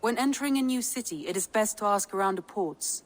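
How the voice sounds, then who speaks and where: A woman playing a composed, shrewd advisor in a video game, measured and formal. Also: medium pitch, lively intonation, lightly acted. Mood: calm, advising.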